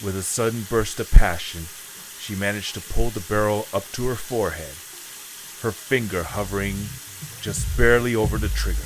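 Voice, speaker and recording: Casting: low-pitched man as solemn story narrator, measured and dramatic, clear background noise. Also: poor audio.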